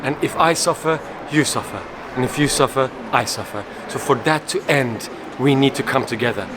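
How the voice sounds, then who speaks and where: A man from Iran, co-founder of an advocacy group, speaking slowly and earnestly in an interview. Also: mid-range voice. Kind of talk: conference interview statement. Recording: loud background noise.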